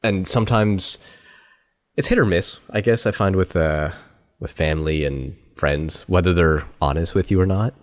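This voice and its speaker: A man hosting a podcast interview, relaxed and self-deprecating, hesitating with fillers. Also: low voice, moderate pace. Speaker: podcast host. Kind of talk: casual interview chat.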